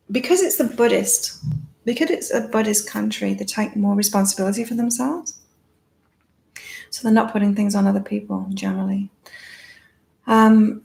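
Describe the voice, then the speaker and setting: A woman with a calming, soothing voice and a gravelly fry at the edges of her words. She hosts a live psychic card-reading chat, musing in a rambling, reflective way full of fillers, at a middle pitch. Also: rough recording. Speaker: psychic reader and live-stream host. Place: in Thailand.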